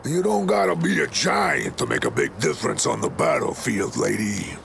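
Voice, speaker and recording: A man with an American accent playing a small, cocky, tough-talking fighter in a video game, gravelly and brash. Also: on the low side, very animated, moderate pace, fairly bright tone, slight background noise, heavily acted. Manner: brash, slangy.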